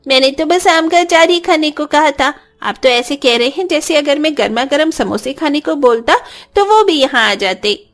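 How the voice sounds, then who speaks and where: A woman's voice: high-pitched, expressive, normal speed. A woman voicing an innocent, hungry little boy in a cartoon story, eager and exclaiming. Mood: delighted.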